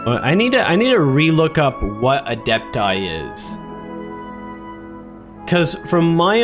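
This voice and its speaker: A man giving casual live commentary as a game streamer, thinking aloud in a hesitant, repetitive way. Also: medium pitch. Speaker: streamer reacting to a game story. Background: game music.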